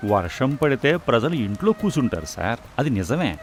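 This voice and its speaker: A man plays a humble village food seller in a moral tale. He speaks in an earnest, reassuring way with lively swings of pitch in a mid-range voice, over faint music. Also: unhurried. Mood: confident. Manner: reassuring, earnest, proverb-laden. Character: poor, confident, philosophical food stall husband. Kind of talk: dramatized moral story voice acting.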